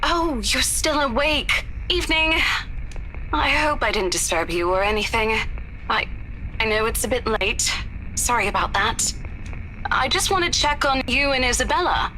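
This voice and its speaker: A woman's voice: fairly high pitch, normal speed, pushed and bright, slight background noise. An actress playing a chipper, polite, apologetic woman on a phone call in a visual novel game.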